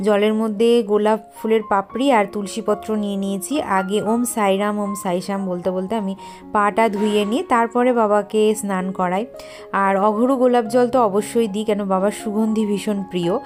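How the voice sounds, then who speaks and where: A woman's voice: mid-range voice, steady tone, brisk, a little mellow, soft background music. A young woman with a West Bengali accent narrates a casual daily vlog, talking to viewers in a chatty, familiar way and mixing in English words. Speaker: vlogger.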